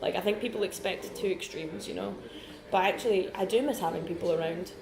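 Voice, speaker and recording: Young woman, rapid chatty delivery, echoey room, crowd and dishes behind. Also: on the low side, vocal fry. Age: young adult. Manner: quick, chatty, frequent fillers. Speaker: interviewee. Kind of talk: casual personal chat.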